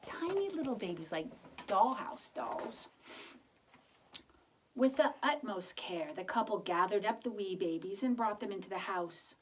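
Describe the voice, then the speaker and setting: A woman's voice: medium pitch, a little slow, recorded from a distance, slight background noise. A woman reading a picture book aloud to children, expressively, with chatty explanatory asides. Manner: expressive, explains words and asks the listener questions. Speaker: children's storybook reader.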